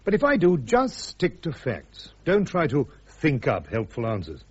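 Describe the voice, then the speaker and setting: Husky, wry British accused officer. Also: male voice, mid-range voice, wildly swooping pitch, moderate pace, darkish tone, muffled recording. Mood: calm advice. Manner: wry, chuckling, clipped colloquial. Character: wry, stubborn, easygoing officer facing court martial. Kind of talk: British radio drama acting.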